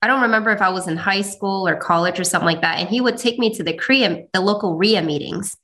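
A woman's voice: mid-range voice, fast talker, sharp tone. A young woman whose first language is Cantonese, answering interview questions modestly and laughing easily. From China. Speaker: interview guest.

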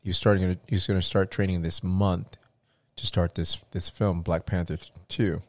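A man's voice: low-pitched, flat delivery, normal speed, a little mellow, dry recording. A man talking in casual chat as a show host, rambling with many restarts and fillers.

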